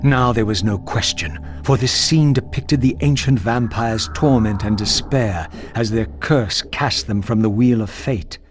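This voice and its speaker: An actor playing a bitter, brooding undead wraith in a video game, with grave, theatrical, deliberate phrasing. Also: male voice, lowish voice, natural voice. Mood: brooding, reflective. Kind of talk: dramatic video game dialogue and monologue. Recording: music behind the voice.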